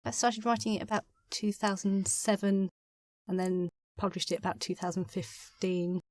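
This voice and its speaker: A woman's voice: medium pitch, fairly even intonation, moderate pace, darkish tone, no echo. A woman speaking casually as a guest author in a podcast interview. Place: padded podcast studio.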